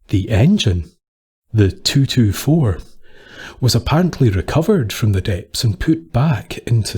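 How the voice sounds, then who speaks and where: A Scottish man reading a scripted dark tale as a storyteller, with solemn, deliberate phrasing. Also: on the low side, slightly rough.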